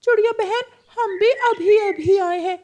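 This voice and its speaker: A woman's voice: very high voice, fairly even intonation, unhurried, darkish tone, pitched above the performer's own voice. A woman voicing a friendly, generous male bird in a children's cartoon.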